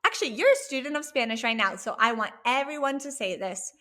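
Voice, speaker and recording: A woman teaching a language lesson, drilling words slowly and encouraging the learner after each one. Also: sits high, animated, sharp tone. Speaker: Spanish teacher.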